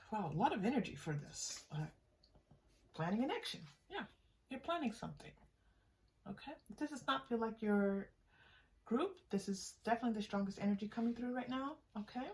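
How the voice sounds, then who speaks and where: A woman giving an improvised spiritual tarot reading straight to the viewer, in a halting, conversational way that often seeks agreement. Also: a little high, lively intonation, darkish tone, distant mic, a little hiss or hum. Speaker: tarot reader and channel host.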